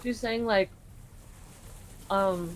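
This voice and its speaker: A woman's voice: medium pitch, drawn-out words. A woman casually translates game lines on the fly for a friend, peppering everything with fillers and swearing. Her mid-range voice sits over a faint background hiss. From Canada. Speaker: live translator. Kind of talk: casual live translation during a game stream.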